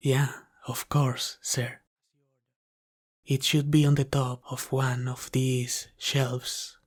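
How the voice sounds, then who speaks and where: A devoted dragon butler, acted by a man, attends in a soft ASMR roleplay. His speech is very slow and unhurried, with a level, restrained melody at a medium male pitch. He is courteous and formal, always gentle and deferential. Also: level tone. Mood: helpful, concerned. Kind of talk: ASMR roleplay, soft scripted character acting.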